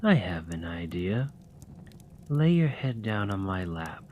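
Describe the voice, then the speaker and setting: A man playing a slow, teasing dragon lover. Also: deep voice, big pitch swings, lo-fi sound, a little hiss or hum, full character voice. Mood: tender. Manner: soft, playful and coaxing. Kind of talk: ASMR roleplay.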